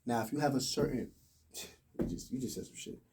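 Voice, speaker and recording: A man's voice: medium pitch, fairly quick, background noise. An American man in his twenties talking casually and emphatically in slang on a podcast, picked up slightly from a distance. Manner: talkative, emphatic, slang-heavy.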